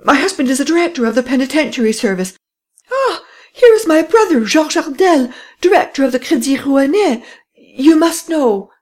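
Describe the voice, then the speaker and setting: A woman reading an audiobook voices a wealthy, theatrical lady who gasps out breathless, high exclamations. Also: sing-song, moderate pace. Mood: hysterical. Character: rich frightened lady, excitable and theatrical.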